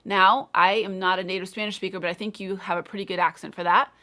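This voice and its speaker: A woman in her thirties hosting a live online interview, speaking in an encouraging, measured way. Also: mid-range voice, steady tone, sharp tone. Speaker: host.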